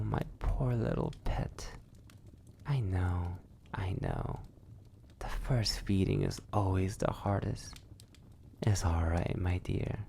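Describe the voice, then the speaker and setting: A man's voice: sits low, gravelly, warm tone, a little hiss or hum, heavily acted. A man playing a sadistic, possessive, centuries-old vampire in an intimate ASMR roleplay, silky and menacing, often dropping toward a whisper. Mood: soothing, possessive.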